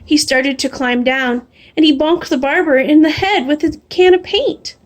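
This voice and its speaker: High-voiced woman reading a picture book aloud. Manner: animated, drawing out refrains slowly. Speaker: storybook reader. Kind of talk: expressive read-aloud of a children's picture book.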